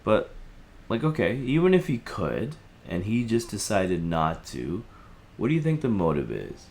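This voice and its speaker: Man acting a low-voiced, hesitant, apologetic guy. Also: sing-song, gravelly, a little mellow, exaggerated voice. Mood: doubtful, conciliatory. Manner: hesitant, halting, conciliatory. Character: Theo, apologetic, evasive male helpline listener with a troubled marriage. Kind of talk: audio drama, naturalistic phone conversation.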